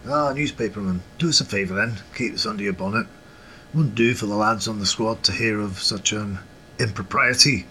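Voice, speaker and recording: An actor playing a genteel, proud yet modest Scottish football coach in a period audio drama, speaking with formal old-fashioned courtesy. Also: male voice, fairly low pitch, brisk, bright, forceful tone, slight background noise, poor audio. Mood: guarded, courteous.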